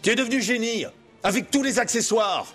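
A man's voice: very high voice, fairly quick, over music, pitched above the performer's own voice. A man voicing a young male thief posing as a prince, eager and prone to stammering. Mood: cheeky.

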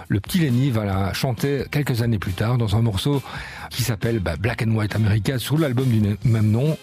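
A man's voice: medium pitch, a little mellow. A man hosting a casual radio segment, telling a musician's story in a chatty way with frequent fillers. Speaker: radio show host.

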